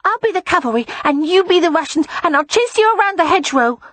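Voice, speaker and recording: A woman voicing an eager, stubborn four-year-old boy in an audiobook, earnest, coaxing and quick to complain. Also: extremely high pitch, expressive, fairly bright tone, voice pushed up. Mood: eager, insistent. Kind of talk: audiobook character voice.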